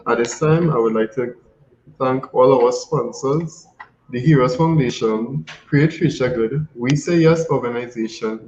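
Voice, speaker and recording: A man's voice: mid-range voice, a little mellow. A young man from Trinidad hosting an online youth session, thanking guests and reading questions in a polite, formal way. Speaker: youth host. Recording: dull roomy mic with faint hiss.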